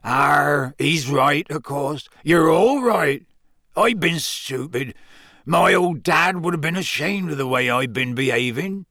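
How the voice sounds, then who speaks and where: A man voicing a huge, humble half-giant in a storybook reading, with a hoarse, croaky voice and halting rustic speech. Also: a little high, bright, forceful tone, dry recording, clean studio sound, voice pushed up, exaggerated voice. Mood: sheepish, moved.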